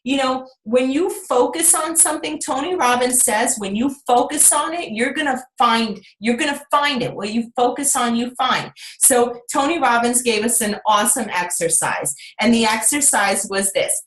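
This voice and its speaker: A woman leading a motivational group video call, energetic and full of rhetorical questions to her team. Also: a little high, normal speed, hardly any pauses. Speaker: team leader on a coaching call. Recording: roomy echo.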